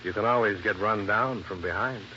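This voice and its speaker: An actor playing a wry, hardboiled American investigator, dry and wisecracking, in old radio detective drama. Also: male voice, fairly low pitch, normal speed, pushed and bright, a little hiss or hum. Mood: dry, probing. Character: wry, hardboiled American insurance investigator narrating his case. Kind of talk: 1950s radio detective narration and dialogue. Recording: muffled old radio recording.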